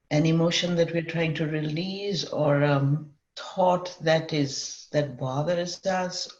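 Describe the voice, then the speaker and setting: Female meditation guide, measured and unhurried with long mid-sentence pauses, slightly dull lo-fi sound in a roomy space. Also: low-pitched, a little mellow. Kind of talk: guided meditation, semi-improvised. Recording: dull lo-fi, echoey room.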